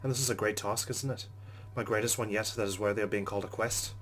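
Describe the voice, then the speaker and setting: A man's voice: fairly low pitch, fairly even intonation, quick pace, a bit bright, slight background noise. A man hosting a let's play, reading a game's narration aloud and adding his own commentary.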